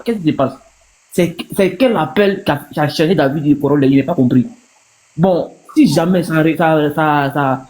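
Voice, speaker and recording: A man's voice: on the high side, a little mellow, poor audio. A man from Ivory Coast with an Ivorian accent, giving his opinion casually as a panel guest on a live stream, repeating himself for emphasis.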